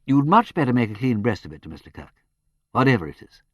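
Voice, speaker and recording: Brief: male reader as a polished, urbane aristocrat, animated delivery. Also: medium pitch, fast, no echo, lo-fi sound. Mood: coaxing. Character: urbane English aristocrat, smooth and tactful.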